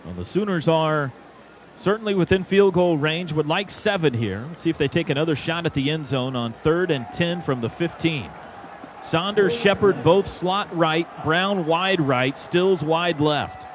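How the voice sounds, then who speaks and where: Male American radio play-by-play caller, higher voice, clipped football calls, shouts on big plays, dull sound over loud stadium noise. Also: unhurried. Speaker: play-by-play announcer.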